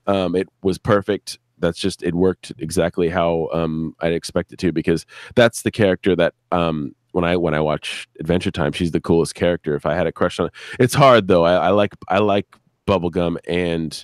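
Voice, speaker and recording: A man chatting casually as a guest show creator on a live stream, rambling and halting, repeating words and swearing freely. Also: low-pitched, fairly even intonation, no room sound, clean studio sound.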